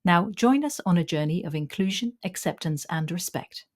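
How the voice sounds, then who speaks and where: A woman hosts a podcast and reads her opening script clearly before turning to her guest. Her voice sits a little low for a woman. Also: animated, normal speed. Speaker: podcast host. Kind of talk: scripted intro and interview.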